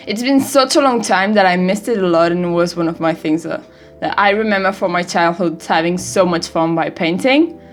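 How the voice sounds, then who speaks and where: An eighteen-year-old woman from Europe, English not her first language, chatting casually in a rambling, giggly, self-deprecating vlog. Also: mid-range voice. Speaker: vlogger. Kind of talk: casual birthday vlog chat.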